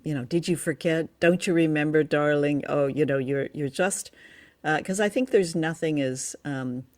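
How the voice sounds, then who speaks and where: A woman podcast host with a fairly low voice. She chats casually and enthusiastically, as in a relaxed review.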